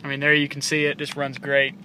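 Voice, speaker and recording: A man's voice: fairly high pitch, monotone, fast, sharp tone, slight background noise, poor audio. A man rambling casually through a vlog from inside his parked SUV, swearing offhand and stumbling over his words. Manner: rambling, loose, swears casually, many ums and restarts. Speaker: vlogger reviewing his CB radio.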